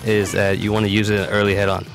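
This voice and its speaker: Low-voiced man giving game tutorial over music. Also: level tone, fast, creaky. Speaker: competitive gamer presenting a character guide. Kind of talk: explanatory video game tutorial commentary.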